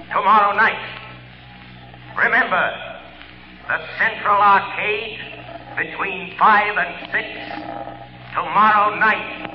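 An actor playing a mysterious, menacing male crime-fighter in an old radio drama, speaking deliberately and with ominous command. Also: high-pitched. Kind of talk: 1940s radio drama acting. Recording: dull old radio recording, hall reverb, faint music.

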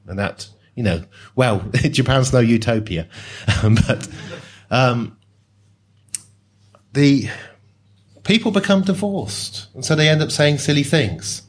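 A man explaining ideas in a relaxed, conversational way with wry asides. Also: mid-range voice. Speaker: speaker on social class. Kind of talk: discussion talk.

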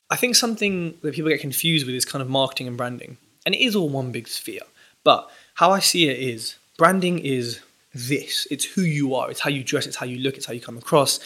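Young male guest, bright forceful tone, lively rambling delivery. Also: mid-range voice. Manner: animated, rambling with restarts. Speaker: podcast guest, property entrepreneur. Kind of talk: casual interview chat.

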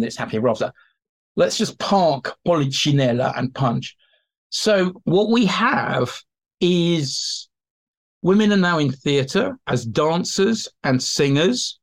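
Man explaining history, mid-pitched voice. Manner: informal, anecdotal storytelling. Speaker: expert guest, theatre historian. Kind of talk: conversational explanation in a history interview.

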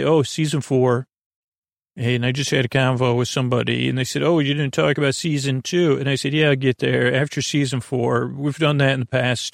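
A man hosting a bedtime podcast, talking in a casual, meandering, halting way with goofy, reassuring asides and a gravelly voice. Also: medium pitch, no room sound, studio quality. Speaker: podcast host.

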